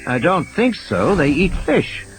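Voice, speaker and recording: A man's voice: on the high side, very animated, unhurried, faint music behind, poor audio. A man voicing a protective adult male adventurer guiding children in an audio drama. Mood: unsure, reassuring.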